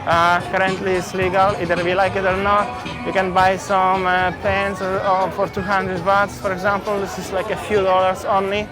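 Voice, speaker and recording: A man from Poland with a Polish accent, walking and talking through a busy outdoor street market for his travel vlog, chatty and repeating his words. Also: a little high. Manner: chatty, repeats words, simple non-native phrasing. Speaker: travel vlogger.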